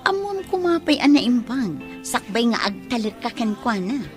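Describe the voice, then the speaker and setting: A woman's voice: fairly high pitch, big pitch swings, normal speed, soft background music. A woman playing a concerned best friend giving careful advice in an Ilocano radio drama, speaking expressively.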